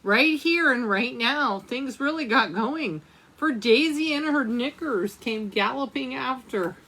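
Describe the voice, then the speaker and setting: Slow-paced woman reading a children's storybook, higher voice. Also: expressive, sharp tone, low-quality recording. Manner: addresses young listeners with questions and asides. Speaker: librarian storytime reader. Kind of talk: read-aloud children's picture-book storytime.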